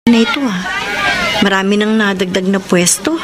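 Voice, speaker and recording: A Filipino actress playing a feisty, expressive young mother and former market vendor in a radio drama. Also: medium pitch, moderate pace, lightly acted. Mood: musing. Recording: noisy background ambience.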